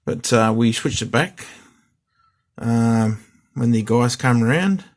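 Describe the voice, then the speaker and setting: A man's voice: mid-range voice, slow pace. Easygoing man, rambling. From Australia. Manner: rambling, easygoing, many fillers and restarts. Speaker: hobbyist vlogger. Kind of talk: casual unboxing and repair vlog.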